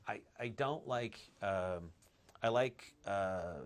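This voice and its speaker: A man's voice: fairly low pitch, slow. A man, a film director, talking in a casual interview with enthusiasm and frequent restarts. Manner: enthusiastic, restarts phrases often.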